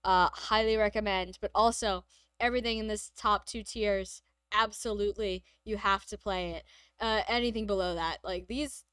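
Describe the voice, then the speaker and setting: A woman co-hosting a game stream in casual chat, hoarse from nearly losing her voice, chatty and quick to giggle. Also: medium pitch, steady tone, a bit bright, no room sound. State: nearly lost voice, sore throat. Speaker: streamer co-host.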